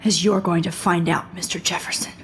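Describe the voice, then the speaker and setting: A woman voicing an earnest teenage photography student in a video game, soft and often half-whispered. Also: on the low side, fairly even intonation, a little fast, slight background noise, lightly acted. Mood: pointed. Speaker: game protagonist.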